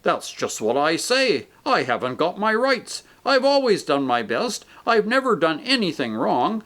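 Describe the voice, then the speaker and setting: A man's voice: high voice, very animated, measured pace, fairly bright tone, voice pushed up, exaggerated voice. A man voicing a coarse, self-righteous male ghost who talks in blunt, indignant working-man speech.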